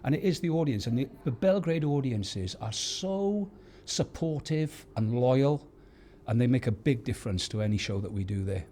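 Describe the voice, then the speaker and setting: A man's voice: medium pitch, animated, normal speed, few pauses, mellow, a little hiss or hum. A middle-aged or older man, a stage actor, giving chatty interview answers backstage. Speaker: pantomime actor, award winner. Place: backstage at an awards venue.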